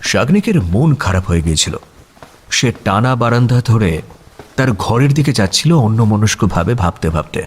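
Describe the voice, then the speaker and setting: A man narrating a scripted suspense story as a dramatic storyteller, using pauses for tension. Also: lowish voice, sing-song, slightly rough. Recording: music behind the voice.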